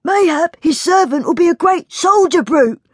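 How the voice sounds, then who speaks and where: Woman doing a high-pitched cheeky servant lad. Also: expressive, moderate pace, dry recording, studio quality. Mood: cheerful, teasing. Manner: chirpy. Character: cheerful, cheeky young lowly servant lad.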